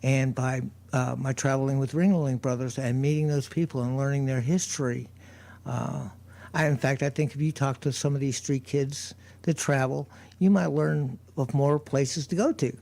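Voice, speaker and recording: A man with a loud, warm, mellow voice, talking loosely in a radio studio. He rambles and restarts often, mid-range in pitch, never polished. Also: fairly even intonation, moderate pace, vocal fry. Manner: rambling, with many fillers and restarts. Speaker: street performer guest. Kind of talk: casual radio chat.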